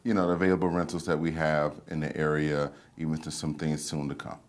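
A man in his thirties, a business founder, relaxed and plain-spoken in a TV studio. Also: extremely low pitch, fast talker. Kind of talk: interview answers.

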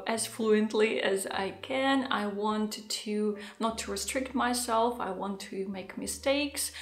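A woman in her thirties, not a native English speaker, talking to the camera at home in a thoughtful, unhurried vlog with soft laughs. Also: mid-range voice. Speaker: vlogger. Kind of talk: casual talking-head vlog. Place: home living room, indoors. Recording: echoey room.

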